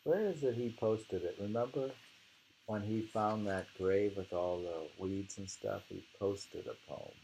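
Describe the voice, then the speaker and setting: A man's voice: on the low side, unhurried, creaky, mellow, a little hiss or hum, low-quality recording. A man reading aloud from a book of poetry and commenting informally, in a rambling, musing manner. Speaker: reader leading a poetry book discussion.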